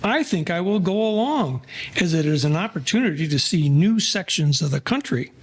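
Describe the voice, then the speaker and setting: A man's voice: on the high side, animated, normal speed. A man playing a polite, wry hanger-on among 1940s gangsters in an audio play, deferential and mock-formal in his wording.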